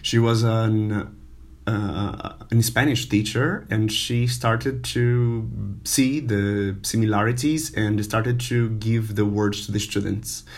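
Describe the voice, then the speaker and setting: Slow, halting non-native male teacher. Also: fairly low pitch, fairly even intonation. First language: not English. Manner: slow, halting, many fillers and repetitions. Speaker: language teacher. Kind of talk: informal language lesson.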